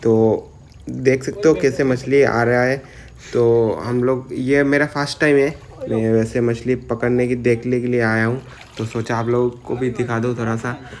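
A man's voice: mid-range voice, level tone, unhurried. A young man vlogging outdoors by a river, rambling with many filler words as he explains what is happening. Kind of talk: casual vlog narration.

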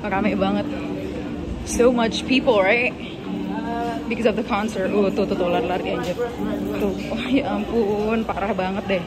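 A woman's voice: mid-range voice, fairly even intonation, moderate pace, long pauses, a loud crowd, heavy background noise. A woman with an Indonesian accent chatting casually as a bubbly vlogger, switching between two languages. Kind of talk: casual vlog chat, mixing two languages. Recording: loud music over the voice.

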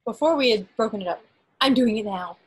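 A woman hosts a live online meeting in a casual way. Her voice is fairly high and somewhat husky, heard over a video call. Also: expressive, a little fast, low-quality recording. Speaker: meeting host running a quiz poll. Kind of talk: casual live event moderation.

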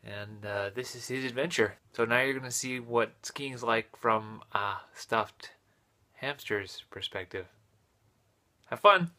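A man in his thirties talking casually to a phone camera in a hotel room, relaxed, with many filler sounds. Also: medium pitch, takes it slowly. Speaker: vlogger and father. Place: small hotel room, indoors. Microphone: phone camera at arm's length.

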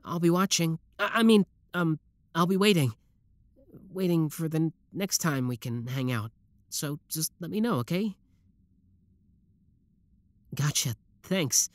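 A man's voice: a little high, unhurried, long pauses, dry recording, lightly acted. A man playing an obsessive, lovestruck young schoolboy villain in a roleplay audio drama, talking intimately to the listener. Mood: flustered, eager. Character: shy schoolboy turned smug, obsessive yandere young villain.

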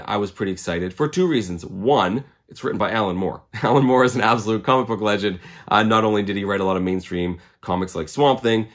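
A man in his forties, slightly hoarse from a cold, chatting casually and enthusiastically to camera as a video host. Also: lowish voice. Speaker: comic collecting video host. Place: small indoor room lined with comics.

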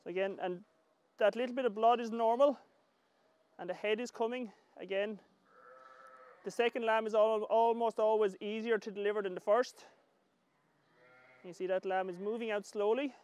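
A middle-aged man with an Irish accent giving calm, measured hands-on instructional commentary as an agriculture professor. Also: high voice, smooth. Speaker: agriculture professor demonstrating lambing. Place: large open livestock shed with straw pens.

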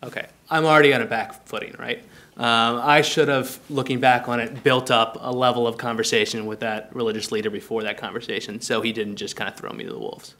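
A man in his thirties speaking on a conference panel into a table microphone. He talks in a loose, conversational way, filling pauses with ums and telling stories from his work. His voice sits at an ordinary middle pitch. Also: fairly even intonation, pushed and bright. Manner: casual, many ums, anecdotal. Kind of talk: policy panel discussion. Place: conference room on a stage panel.

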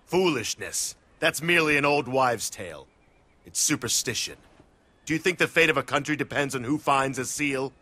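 A man's voice: medium pitch, animated, normal speed, pushed and bright. An actor playing a proud, bold middle-aged warlord and father in a video game, declamatory and commanding. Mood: scornful, assured. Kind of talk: dramatic English video game cutscene acting.

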